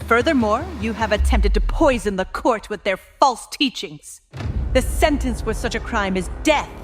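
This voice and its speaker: A woman's voice: a little high, lively intonation, moderate pace, faint music behind, lightly acted. A woman voicing a cold, imperious insect queen with a regal, stern drawl in an audio story. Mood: coldly commanding.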